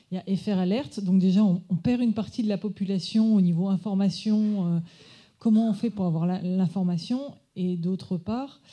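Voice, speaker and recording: A woman asks a question at a technical conference. Her voice is average in pitch and very muted in tone. She feels her way through it, restating what she means. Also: dark tone. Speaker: municipal risk technician, audience questioner.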